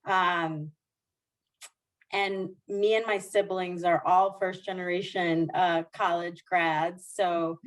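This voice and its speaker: Woman, 40s, lowish voice, earnest podcast guest on a remote call, lots of restarts. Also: steady tone, slow, low-quality recording. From Dominican Republic. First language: Spanish. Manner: warm, earnest, frequent restarts and soft laughs. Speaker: guest, diversity executive. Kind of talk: podcast interview.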